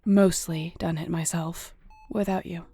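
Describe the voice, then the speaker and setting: A woman playing a surly, protective werewolf alpha in an audio roleplay, with a gruff, blunt, dry manner. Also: fairly low pitch, fairly even intonation, a little slow, mellow, no echo. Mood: tender, wry. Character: surly young female werewolf alpha, guarded, fiercely protective.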